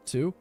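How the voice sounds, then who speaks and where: A man acting as game master, casually narrating a tabletop game in a halting, thinking-aloud manner. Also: mellow. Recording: dry close mic with music behind. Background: music.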